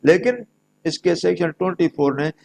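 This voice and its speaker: A man's voice: high-pitched, lively intonation, normal speed, creaky, a little mellow. A man with a Pakistani accent giving an explanatory law lecture, repeating key phrases emphatically and mixing in English legal terms. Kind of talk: explanatory lecture mixed with reading legal text.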